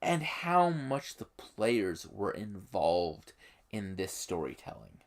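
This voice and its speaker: A YouTube host giving explanatory commentary on a game setting, speaking emphatically and haltingly, with pauses. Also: sits low, very animated.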